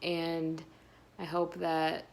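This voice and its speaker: A woman reading a children's picture book aloud expressively, pausing between phrases with dramatic emphasis. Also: sits low, completely flat delivery, creaky. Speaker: children's read-aloud host.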